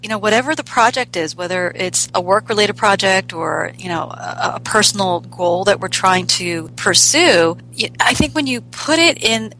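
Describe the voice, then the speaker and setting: A woman's voice: mid-range voice, expressive, normal speed, hardly any pauses, pushed and bright, slight background noise, low-quality recording. A middle-aged woman raised in the United States, answering conversationally as a business coach being interviewed. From United States, born in South Korea and immigrated at four. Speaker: business coach, podcast guest.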